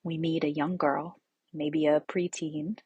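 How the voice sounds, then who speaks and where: Husky, very low-pitched woman poet. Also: little pitch movement, a little slow, a little mellow, no room sound, muffled recording. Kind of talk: prepared spoken introduction to a poem.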